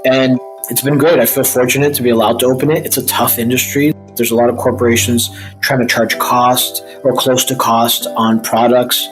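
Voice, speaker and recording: A man of medium pitch speaks casually as a guest being interviewed about his own experience. Faint music sits behind his voice. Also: little pitch movement, moderate pace, rough recording. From Egypt, by birth. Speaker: interview guest, retail store owner.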